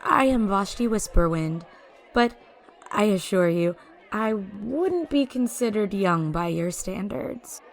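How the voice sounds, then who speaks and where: Courteous, naive fey wanderer acted by a woman, unhurried and hesitant, mid pitch, fantasy audio drama. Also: expressive, gravelly, some chatter behind. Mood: gentle, curious. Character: gentle, polite fey wanderer woman, youthful-seeming, naive.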